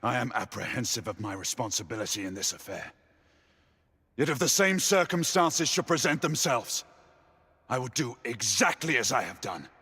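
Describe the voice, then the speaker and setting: A man's voice: medium pitch, big pitch swings, moderate pace, bright, forceful tone, husky, full character voice. A man playing a defiant, grieving knight who leads in battle, intense and formal, in a period video game. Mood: grave defiance. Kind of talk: dramatic period game acting.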